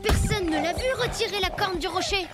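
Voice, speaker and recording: A woman's voice: very high-pitched, a little fast, over loud music. A woman playing an earnest, plucky young boy in a cartoon, speaking with determination and urgency. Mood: determined. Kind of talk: French animated cartoon dub acting.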